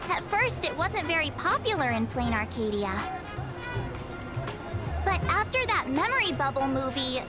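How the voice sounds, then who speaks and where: A woman playing a cheerful, excitable young girl traveler in a video game, with a bubbly, chatty and expressive delivery. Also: very high voice, full character voice.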